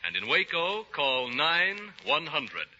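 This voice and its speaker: Forceful, animated 1930s American radio announcer man. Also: fairly high pitch, takes it slowly. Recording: dull, noisy 1930s radio recording.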